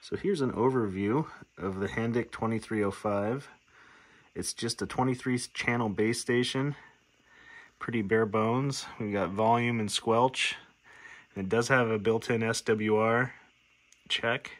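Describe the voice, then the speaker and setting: A man's voice: fairly low pitch, fairly even intonation, measured pace. A man from the United States giving a casual, plainspoken, matter-of-fact demonstration as a radio repair hobbyist. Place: indoor workshop at a workbench.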